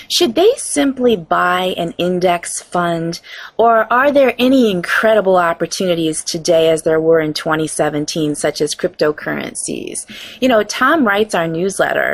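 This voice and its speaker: Low, creaky-voiced woman interviewer. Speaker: interviewer and host. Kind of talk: financial interview questions and promotion.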